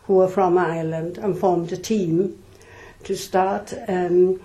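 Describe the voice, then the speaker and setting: An older woman from South Wales, a nun calmly answering interview questions with thoughtful pauses. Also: fairly low pitch. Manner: measured, thoughtful, with frequent pauses and ums.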